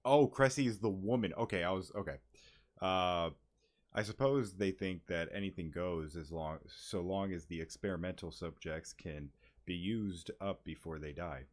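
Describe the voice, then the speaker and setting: A man's voice: deep voice, moderate pace. A man reading game dialogue aloud during a playthrough, breaking off to react casually. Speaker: gaming streamer.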